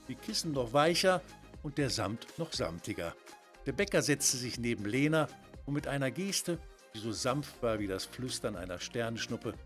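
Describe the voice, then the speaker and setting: A man's voice: mid-range voice, a bit bright. A man narrating a scripted children's story in a dramatic, wonder-filled way.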